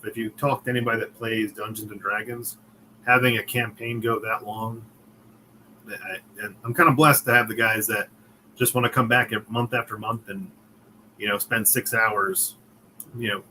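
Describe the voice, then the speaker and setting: A man from Connecticut tells a story in relaxed interview chat, his voice bright and forceful. He acts out the other people's lines as he goes, and a faint noise sits under the recording. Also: on the low side, normal speed, pauses often, rough recording. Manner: storytelling, chuckling, acting out quotes. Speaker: comic creator guest.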